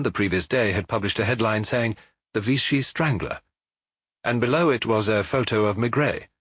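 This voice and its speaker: A man's voice: on the low side, fairly bright tone, slightly rough, no room sound. A man reading a detective novel aloud as an audiobook narrator, in a scripted literary style.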